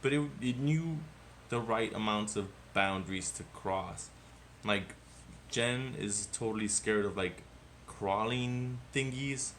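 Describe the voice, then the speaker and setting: A man co-hosting a casual movie review podcast, talking in an animated, rambling way and swearing often. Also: on the low side, takes it slowly, a little hiss or hum. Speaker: podcast co-host.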